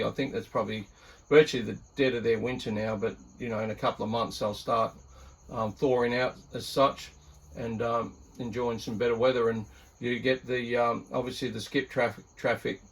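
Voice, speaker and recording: A man's voice: medium pitch, creaky. An Australian man giving a casual, rambling demonstration as a radio hobbyist, thinking aloud in an easygoing manner. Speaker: radio hobbyist presenting a video. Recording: very noisy, loud radio static. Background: CB radio static and faint transmissions.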